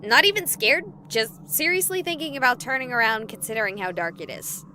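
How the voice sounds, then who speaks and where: A woman playing an excitable, jokey young streamer in a haunted hospital video game, expressive and rambling. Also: fairly high pitch, fairly quick, slight background noise. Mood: nervous, wry. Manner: expressive, rambling, wisecracking to an unseen audience.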